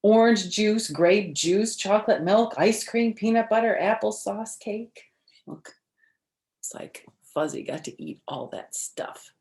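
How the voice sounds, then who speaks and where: An older woman reading a picture book aloud to children, expressive and deliberate, with friendly asides to young listeners. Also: mid-range voice. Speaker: storyteller for children. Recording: echoey room.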